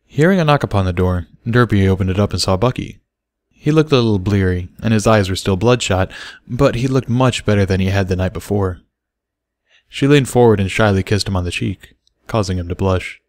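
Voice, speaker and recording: A man's voice: lowish voice, brisk, a little mellow, no echo. A man reading a story aloud as scripted narration. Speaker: audiobook reader.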